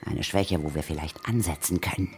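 A woman's voice: extremely low pitch, normal speed, a little mellow, faint music behind. An actress playing a bossy, fearless older woman who hunts ghosts in a children's audio drama, resolute and emphatic. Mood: calm, shrewd.

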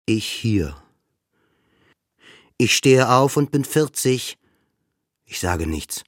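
A man's voice: mid-range voice, animated, extremely slow, no echo, clean studio sound. An actor playing a depressed, self-doubting man of forty in an introspective, repetitive radio drama monologue. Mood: flat resignation. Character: depressed forty-year-old male patient, brooding, self-doubting narrator.

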